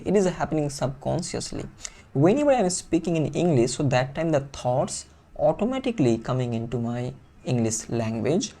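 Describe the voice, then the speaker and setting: A Bengali-accented man gives a motivational English lesson with an earnest, insistent delivery. He hammers points home by repeating phrases. His voice sits at a middle pitch with a mellow, dark tone. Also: gravelly. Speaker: English speaking coach. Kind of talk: motivational teaching monologue.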